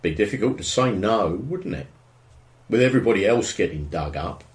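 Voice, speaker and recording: An actor playing a grumbling, nosy middle-aged husband in an audio drama, with an English accent and a dry manner. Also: male voice, lowish voice, lively intonation, fairly quick, reverberant, lightly acted. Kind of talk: naturalistic audio drama dialogue.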